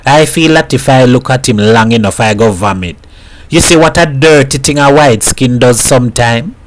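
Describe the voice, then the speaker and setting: A man's voice: medium pitch, moderate pace, natural voice. A man voicing a blunt, mocking older neighborhood man in plain tones.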